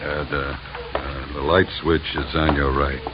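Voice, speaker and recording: A man's voice: very deep voice, level tone, unhurried. An actor playing a blunt, bossy, menacing tough guy in an old-time radio crime drama. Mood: uneasy, bossy. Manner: blunt, threatening, terse. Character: menacing male tough with a gun, gruffly bossy. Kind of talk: 1940s-50s radio crime acting. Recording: very noisy, muffled old radio recording.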